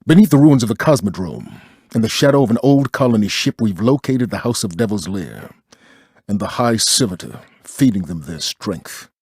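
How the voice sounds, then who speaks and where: An actor playing a fierce, solemn military leader giving a dramatic video game briefing in a raspy voice. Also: male voice, fairly low pitch, very animated, moderate pace, darkish tone, dry recording, clean studio sound, exaggerated voice.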